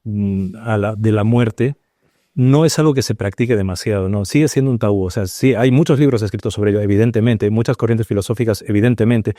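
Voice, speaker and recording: Mellow-voiced man musing hesitantly. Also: lowish voice, no echo, clean studio sound. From Peru. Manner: searching, hesitant, full of restarts and pauses. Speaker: panel guest, paranormal researcher. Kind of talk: reflective round-table discussion.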